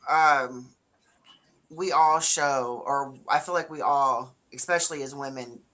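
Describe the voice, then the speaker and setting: A young woman with a deeper voice, co-hosting a relaxed, chatty talk show with lots of fillers. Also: measured pace. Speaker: co-host. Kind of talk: casual chat.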